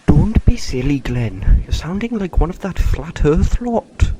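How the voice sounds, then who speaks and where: A man's voice: fairly high pitch, sing-song, measured pace, dark tone, slight background noise. A man playing a sceptical, down-to-earth uncle, dismissive and teasing, in an audio drama.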